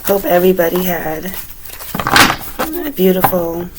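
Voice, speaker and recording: A woman with a raspy, recovering voice giving a relaxed, chatty tarot reading at home, with many fillers. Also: on the low side, measured pace, heavy background noise. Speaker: tarot reader. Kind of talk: casual tarot reading to camera.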